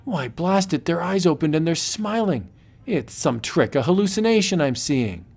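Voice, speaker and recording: A man's voice: fairly high pitch, lively intonation, moderate pace, vocal fry, darkish tone, faint music behind, pitched above the performer's own voice. Guy doing a snooty bossy young colonial officer, skeptical, haughty, comic book drama. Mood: agitated. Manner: haughty, commanding.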